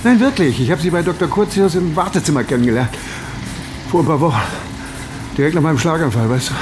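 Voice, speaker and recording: An actor playing a flustered, defensive 59-year-old editor, stammering and testy in naturalistic radio drama dialogue. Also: male voice, on the high side, expressive, fast talker, over music, close to an everyday voice. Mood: earnest, smitten.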